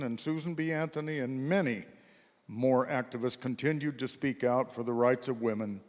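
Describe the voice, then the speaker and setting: A man's voice: medium pitch, a little mellow. Male narrator in a reverberant hall. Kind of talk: scripted historical narration, readers theater. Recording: echoey hall, long reverb.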